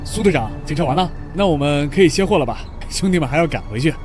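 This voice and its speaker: A man acting a shifty convoy soldier in an apocalypse animation. His high voice hurries along, ingratiating and evasive, over loud music. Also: expressive, fast, lightly acted. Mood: ingratiating, uneasy. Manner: ingratiating, evasive, easily flustered. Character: shifty, cowardly male supply-convoy soldier. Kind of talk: dramatic dubbed animated-comic dialogue.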